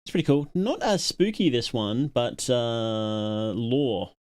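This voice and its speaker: A man's voice: medium pitch, slow pace, a little mellow, clear, resonant tone. A man casually commentating on a livestream, musing aloud and reading out viewer comments as he reacts. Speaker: livestream host reacting to a horror series.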